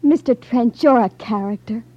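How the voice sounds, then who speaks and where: Actress as spirited, husky, high-pitched radio dame. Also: female voice, moderate pace, mellow, lightly acted. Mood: admiring, pert. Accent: American. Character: admiring, spirited woman helping a detective. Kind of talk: 1950s radio acting. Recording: muffled 1950s radio broadcast recording.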